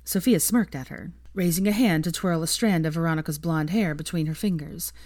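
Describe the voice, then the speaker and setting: A woman's voice: low-pitched, brisk, darkish tone, no echo, clean studio sound. A woman narrating a story as an audiobook reader, reading from a script.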